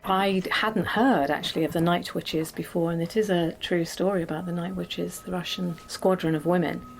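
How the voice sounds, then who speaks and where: A woman speaks in a slightly low voice, as an actress being interviewed. She is matter-of-fact and conversational. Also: steady tone, normal speed, vocal fry, a little hiss or hum, low-quality recording. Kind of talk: behind-the-scenes interview.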